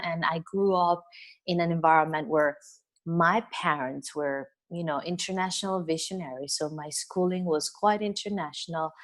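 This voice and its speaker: A middle-aged woman from Venezuela, a wellness business coach, chatting at length as an interview guest with frequent fillers and soft laughs. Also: on the low side. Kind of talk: casual interview conversation.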